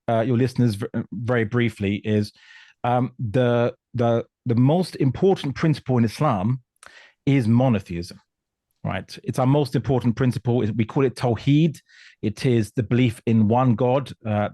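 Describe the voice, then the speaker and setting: Male discussion guest from Portugal; emphatic, halting explainer, mid-pitched, close dry sound. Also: warm tone, no room sound. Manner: emphatic, halting, often repeating words. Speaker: guest commentator on religion and politics.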